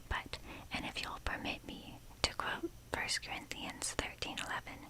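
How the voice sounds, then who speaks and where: A young woman hosting an ASMR video in a breathy, unvoiced whisper, rambling casually with a self-deprecating manner. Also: moderate pace.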